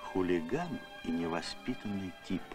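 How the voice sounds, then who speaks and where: A man's voice: deep voice, expressive, normal speed, darkish tone, soft background music. A man voicing a gloomy, grumbling spaceship captain in a cartoon, dour in manner.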